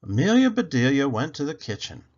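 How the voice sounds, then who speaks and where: A man reading a children's picture book aloud as an expressive narrator. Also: mid-range voice, fairly quick, muffled recording.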